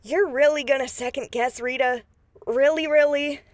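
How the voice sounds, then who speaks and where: A woman's voice: high-pitched, fairly even intonation, normal speed, no room sound, clean studio sound, close to an everyday voice. A woman acting a confident deputy in a post-apocalyptic audio drama, with a casual, needling, folksy way of talking. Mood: persuasive, teasing. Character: confident, folksy female deputy, teasing but firm.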